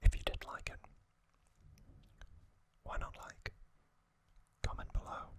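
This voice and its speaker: A man narrating in a breathy ASMR whisper, gently and in short phrases with long pauses. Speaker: ASMR video host.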